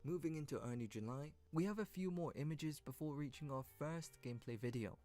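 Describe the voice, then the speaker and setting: A man's voice: a little high, a little fast, mellow. A man reading scripted, informative narration for an online video. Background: background music.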